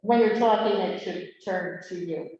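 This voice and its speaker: A woman's voice: medium pitch, moderate pace, mellow, lo-fi sound, slight background noise. Woman on the board, lots of starts and stops, voice far from the mic with big echo. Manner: hesitant, with restarts, then explaining the setup. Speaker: library board member. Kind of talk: casual chat before a board meeting. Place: meeting room with a video-call setup. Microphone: room microphone, from far off. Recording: echoey room audio picked up from far off.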